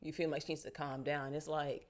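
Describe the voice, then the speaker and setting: A young woman from Charlotte, a guest artist talking casually in an interview, animated and full of slang. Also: low-pitched, fairly quick. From United States, Charlotte. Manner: animated, casual slang, frequent "you feel me".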